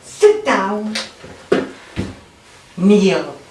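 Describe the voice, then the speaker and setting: A sarcastic review host delivers scripted comedy commentary with a very lively, swooping range. The delivery is theatrical and mock-imperious. The voice sits in an echoey room with faint noise behind it. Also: mid-range voice, extremely slow. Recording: roomy echo, faint background noise.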